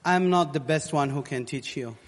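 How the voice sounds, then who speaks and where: Guy preaching, kinda high voice, short halting english phrases, big echoey hall. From Morocco. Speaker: guest preacher giving testimony. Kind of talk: sermon testimony, speaking through an interpreter. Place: large reverberant hall, addressing a congregation. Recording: long hall reverb.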